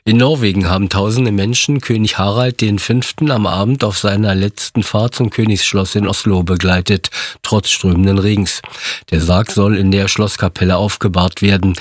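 A man reads the news in a steady, formal studio voice. His voice sits on the low side and has a husky edge. The sound is dry and close, with faint music running underneath. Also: moderate pace, no echo. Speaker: newsreader. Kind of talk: read radio news bulletin. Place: radio studio.

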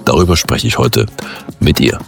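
A man's voice: rumbling low, moderate pace, gravelly, faint music behind. A man hosting a radio show, presenting from a script and putting questions to a guest. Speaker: radio show host and interviewer.

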